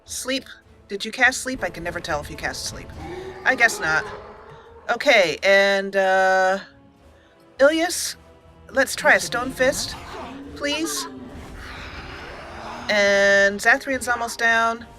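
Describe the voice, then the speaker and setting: A woman's voice: mid-range voice, animated, takes it slowly, long pauses, over music. A woman hosting a let's play with casual gameplay commentary, chatty and thinking aloud.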